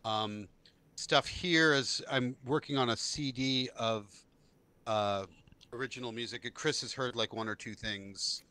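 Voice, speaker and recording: A man's voice: mid-range voice, measured pace, bright, forceful tone, clear, resonant tone. A man chatting casually on a video call from his small home studio, slangy and self-deprecating. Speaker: guest musician. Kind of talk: casual livestream chat.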